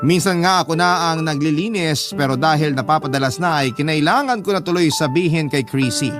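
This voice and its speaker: A man's voice: on the high side, brisk. A man reading a dramatic scripted story as a storyteller. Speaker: storyteller reading a listener's letter. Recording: music behind the voice. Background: background music.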